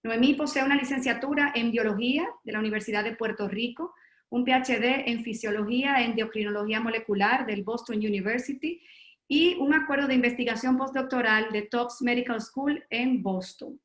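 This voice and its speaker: Webinar host: woman, high-ish voice, echoey room, formal introductions with pauses. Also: fairly bright tone. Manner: reads a long formal bio with filler pauses. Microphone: video call.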